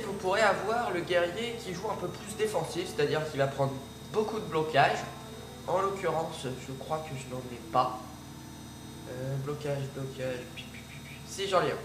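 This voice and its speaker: A man with a slightly high voice, stuffy from a cold, talks through a card game in a halting way full of fillers. He sits back from the mic in an echoey room, and music plays clearly behind him. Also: distant mic. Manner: hesitant, many fillers and restarts. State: has a cold. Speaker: gaming video creator. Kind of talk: casual gameplay commentary. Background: background music.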